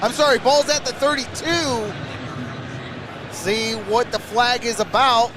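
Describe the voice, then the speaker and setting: High-voiced man doing live fan commentary. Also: measured pace, background noise. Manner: informal, reacting aloud to plays and greeting viewers. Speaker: fan streamer giving play-by-play.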